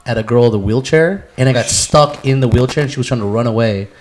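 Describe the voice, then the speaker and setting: A man's voice: medium pitch, fast, a little mellow. An American man in casual, slangy chat, swearing freely as he reminisces with friends. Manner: slangy, profane, addresses friends as bro and dude. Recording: echoey room with clear background noise.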